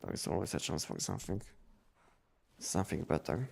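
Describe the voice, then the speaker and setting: A man chatting casually on a game livestream, explaining things in a halting, repetitive way. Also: low-pitched, very animated, vocal fry, a little mellow, a little hiss or hum. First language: not English. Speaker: game live streamer.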